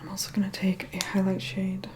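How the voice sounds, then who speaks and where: A woman's voice: mid-range voice, completely flat delivery, fairly quick, noisy background, natural voice. A woman playing a snobbish, reluctant young vampire in an ASMR roleplay, whispering in a sarcastic, bossy, condescending way. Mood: snide, grudging.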